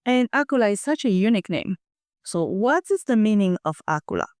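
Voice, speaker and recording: A woman's voice: mid-range voice, sing-song, a little fast, darkish tone, no room sound, studio quality. A woman hosting a podcast interview, who asks long questions and switches between English and French. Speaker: podcast host.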